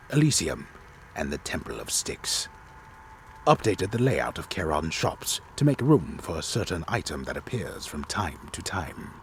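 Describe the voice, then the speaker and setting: Casting: grave storyteller for a video game, played by a man, deep bass register, dramatic swooping delivery, solemn and deliberate announcing. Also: slight background noise, heavily acted. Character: disembodied male storyteller, grave and theatrical. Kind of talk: dramatic reading of game patch notes.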